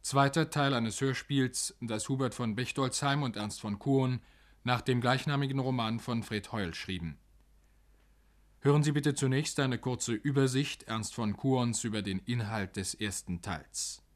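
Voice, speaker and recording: A man's voice: medium pitch, measured pace. A man making a scripted 1950s radio announcement in a formal, precise manner.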